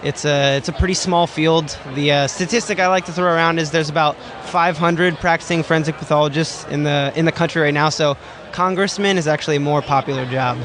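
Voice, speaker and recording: A young man from Southern California answering interview questions in a relaxed, hesitant way. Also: fairly high pitch, a little fast, background noise.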